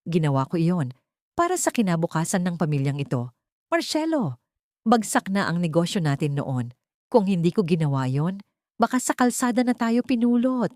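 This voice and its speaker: A woman voicing a greedy, unrepentant grandmother in an audiobook drama, bitter, defensive and forceful. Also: lowish voice, big pitch swings, normal speed, no room sound, clean studio sound. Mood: bitter, shameless. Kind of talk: Tagalog audiobook drama dialogue.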